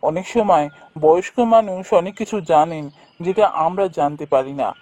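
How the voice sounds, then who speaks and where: Young fairy-tale prince played by a man: high-pitched, courteous, earnest, for animation. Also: moderate pace, dark tone, lightly acted. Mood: pondering. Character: earnest, polite young prince posing as villager.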